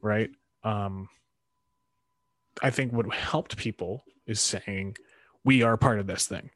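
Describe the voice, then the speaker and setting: A man in casual conversation, thinking aloud and giving his opinions in a halting way. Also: on the low side, a bit bright, no echo. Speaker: karate practitioner in discussion.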